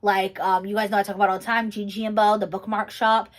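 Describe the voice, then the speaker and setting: A woman's voice: medium pitch, level tone, quick pace. A young woman who teaches and makes videos, talking casually through a get-to-know-me Q&A, rambling with lots of fillers and restarts. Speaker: YouTuber and teacher. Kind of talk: casual chatty Q&A vlog.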